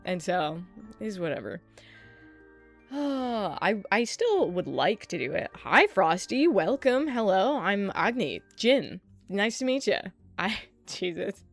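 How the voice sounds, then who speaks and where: A woman streamer talks casually to her chat with a voice that still sounds sleepy. Her speech is loose and chatty, and she swears freely and laughs often. Soft music plays faintly underneath. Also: mid-range voice, sing-song, takes it slowly. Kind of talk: casual livestream chat while setting up a 3D model.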